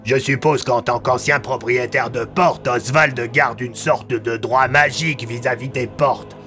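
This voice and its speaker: A man's voice: mid-range voice, steady tone, measured pace, sharp tone, faint music behind. Man playing a casual talking bird sidekick. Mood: explaining. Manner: colloquial, offhand explanations.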